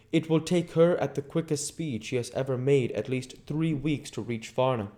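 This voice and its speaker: A man voicing an elderly professor in an audiobook, commanding and earnest, speaking deliberate, formal, oddly worded English. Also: mid-range voice, darkish tone, voice pushed up, close to an everyday voice. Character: elderly male professor leading a vampire hunt, commanding, earnest. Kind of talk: dramatic audiobook character reading.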